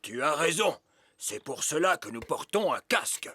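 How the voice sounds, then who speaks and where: A man's voice: fairly high pitch, sing-song, normal speed, pushed and bright, slightly rough, dry recording, exaggerated voice. A man voicing an earnest, cautious adult elf farm worker in a children's cartoon, explaining things emphatically. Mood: grave warning. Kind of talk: animated children's cartoon dub.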